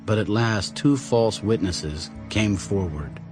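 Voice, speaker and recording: Very deep male Bible narrator. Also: slow pace. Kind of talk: scripted dramatized Bible narration. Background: music.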